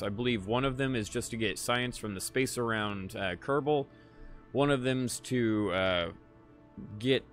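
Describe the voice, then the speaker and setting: Tired, slightly raspy male streamer. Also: lowish voice. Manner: laid-back, swears freely, chats to viewers. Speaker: gaming livestreamer. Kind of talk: casual live gameplay chat.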